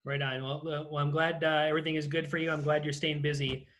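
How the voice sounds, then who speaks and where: A man's voice: on the high side, flat delivery, fast, fairly bright tone. A man hosting a casual interview over a video call, putting questions to his guest. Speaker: interview host. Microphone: video call microphone.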